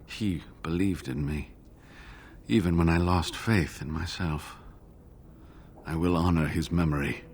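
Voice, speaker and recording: An actor playing a weary, earnest scholar in a fantasy video game, speaking in a measured, formal, rueful way. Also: male voice, low voice, a little mellow, a little hiss or hum. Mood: mournful.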